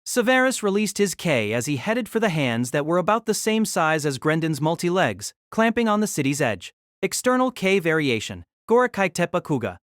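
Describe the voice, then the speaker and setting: A man's voice: on the high side, very animated, normal speed, a bit bright, no echo, clean studio sound. A man narrating a fantasy novel as a dramatic, scripted audio drama.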